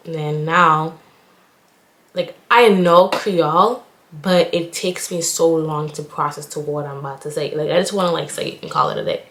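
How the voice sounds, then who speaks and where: A young woman from Haiti, a nursing student, chatting casually while getting ready and rambling with lots of "like". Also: on the low side. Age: young adult. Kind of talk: casual get-ready-with-me chat. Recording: echoey room.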